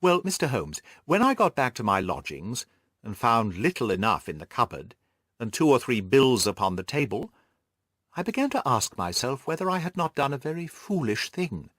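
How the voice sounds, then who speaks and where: A man's voice: medium pitch, sing-song, normal speed, fairly bright tone, no echo, studio quality. A man voicing an earnest, anxious young Victorian governess who tells her story articulately in an audiobook. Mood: earnest, reflective.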